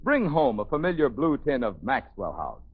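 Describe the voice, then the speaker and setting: Very animated American radio ad announcer, male. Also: medium pitch, moderate pace, husky. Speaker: commercial announcer. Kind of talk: scripted 1950s radio advertisement. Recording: narrow, tinny 1950s radio recording.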